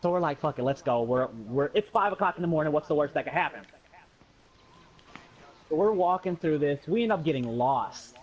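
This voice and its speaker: A man's voice: a little high, brisk, dull audio. An American man casually telling a personal story, acting out dialogue in slangy, sweary speech. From Muskegon, Michigan, USA. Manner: animated retelling with acted-out dialogue and slangy swearing.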